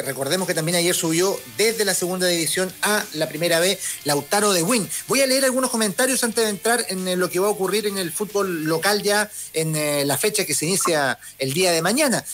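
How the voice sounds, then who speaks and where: A man's voice: high voice, a bit bright. A man with a Chilean accent hosting a sports talk show over a video call, friendly and full of fillers as he reads out listener comments. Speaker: radio sports show host.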